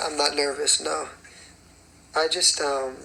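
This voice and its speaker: A raspy young man, a singer and choreographer, chatting casually on a phone livestream and rambling with many fillers. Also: on the high side, little pitch movement, slow pace, pushed and bright, a little hiss or hum, rough recording. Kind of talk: casual livestream chat answering comments.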